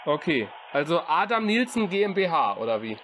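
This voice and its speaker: A man hosting a prank call show, calm and dry, needling the caller with sarcastic questions. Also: fairly high pitch, brisk, a little hiss or hum. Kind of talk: dry sarcastic phone chat. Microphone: phone call recording.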